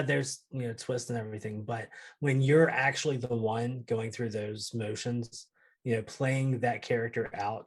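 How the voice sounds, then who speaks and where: A man's voice: medium pitch, husky. A man in a casual panel chat, giving blunt, sweary opinions. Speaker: podcast panelist.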